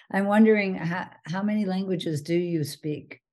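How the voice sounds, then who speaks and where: A woman interviewing a guest, asking soft, encouraging questions and giving short murmurs of agreement. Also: lowish voice, a little slow.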